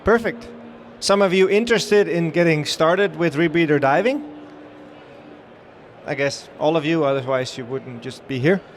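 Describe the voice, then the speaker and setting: A middle-aged Dutch man talks to a crowd at a trade show, upbeat and chatty, often pausing on fillers and restarting his sentences. His voice sits somewhat high for a man. A faint wash of show-floor noise runs behind him. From Netherlands. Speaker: dive training director giving a trade-show talk. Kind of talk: live presentation to an audience.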